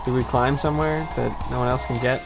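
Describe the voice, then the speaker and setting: A man's voice: medium pitch, steady tone, brisk, creaky. Casual male gamer over game music. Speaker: let's play co-host. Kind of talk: casual gameplay chat.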